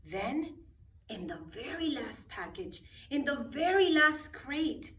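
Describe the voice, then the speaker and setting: A woman reading a bedtime story aloud expressively, with dramatic, deliberate phrasing for children. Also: high-pitched, sing-song, fairly bright tone. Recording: roomy echo, distant microphone.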